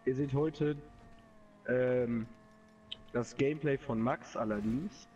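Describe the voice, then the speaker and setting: A man's voice: mid-range voice, takes it slowly, recorded from a distance, muffled recording, crowd noise. A young man with a cold, chatting casually and using slang while he plays a racing game. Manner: chatty, slangy, laughs easily. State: has a cold. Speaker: channel host (Nico). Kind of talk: casual gameplay commentary.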